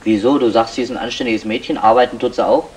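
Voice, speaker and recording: An actor playing a bossy, grumbling small-time burglar with a wry manner, in a 1960s German radio play. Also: male voice, mid-range voice, steady tone, fast talker, lightly acted. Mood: chatty, wry. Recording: noisy old radio play recording.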